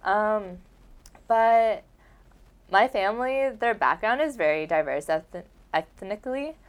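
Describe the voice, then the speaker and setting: A woman's voice: medium pitch, animated, slow pace. A young woman from Oregon talking casually about her family, rambling with lots of filler words. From Oregon, United States. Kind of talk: casual conversation.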